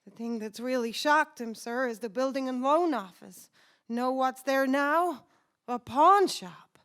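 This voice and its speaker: A woman acts out an ancient guardian angel in an old-time radio drama. The voice is high and gentle, with a polite, fussy, innocent manner. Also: unhurried, clear, resonant tone. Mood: concerned, obedient. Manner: polite, fussy, chuckling, hesitant. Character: gentle, naive, cheerful elderly guardian angel Clarence.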